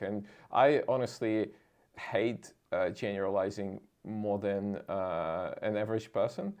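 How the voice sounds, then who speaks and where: A man hosting a casual interview, choosing his words carefully and somewhat haltingly. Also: lowish voice, darkish tone. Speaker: interviewer and channel host.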